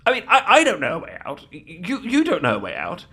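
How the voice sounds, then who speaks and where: Adorkable British knight: high, very animated pitch, nervous stammer, apologetic, comedic fantasy roleplay. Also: male voice, fast talker, breathy edge, exaggerated voice. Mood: flustered, nervous. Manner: stammering, flustered, apologetic, repeats words. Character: bumbling, adorkable, lovestruck, nervously polite male knight.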